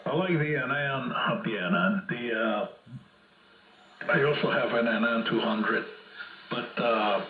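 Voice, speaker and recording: A man heard over a two-way AM radio, talking informally and haltingly as an amateur operator on a hand mic. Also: mid-range voice, sharp tone. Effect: two-way AM radio transmission. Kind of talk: casual radio contact chat. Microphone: hand microphone. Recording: muffled, echoey AM radio reception.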